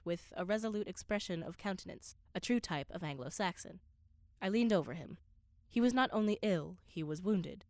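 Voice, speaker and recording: A woman's voice: on the low side, dry recording, close to an everyday voice. A woman playing an earnest scholar who narrates an undersea voyage in a radio play, with precise, literary, dramatic delivery.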